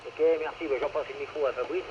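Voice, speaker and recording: A man's voice: mid-range voice, fairly even intonation, quick pace. Muffled man on noisy two-way radio. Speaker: amateur radio operator. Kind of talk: casual radio contact chat. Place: radio transmission with noise behind. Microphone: two-way radio microphone. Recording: muffled two-way radio, clear static noise.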